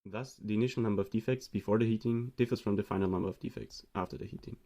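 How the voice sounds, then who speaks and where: A young man from Austria giving a read-aloud presentation of a student research project in a steady explanatory way. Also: fairly low pitch, very fast.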